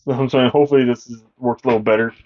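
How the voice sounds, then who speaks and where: A young man with a deep voice co-hosting a podcast in casual chat, rambling and halting with many fillers. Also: steady tone, darkish tone, lo-fi sound. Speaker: podcast co-host.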